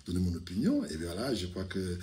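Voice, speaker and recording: Outspoken low-voiced man talking to camera. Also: fairly quick. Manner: outspoken, rising to animated outbursts, many restarts and fillers. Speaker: video commentator. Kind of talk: casual political commentary to camera. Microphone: camera, speaking to it directly.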